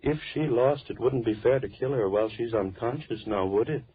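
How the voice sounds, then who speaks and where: A man's voice: medium pitch, brisk, slightly rough, no room sound. An actor playing a mad, sinister man in an old-time radio horror drama, speaking with unctuous, mock-tender menace and repeating his words. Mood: mock pity. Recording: tinny, band-limited old radio recording, background voices.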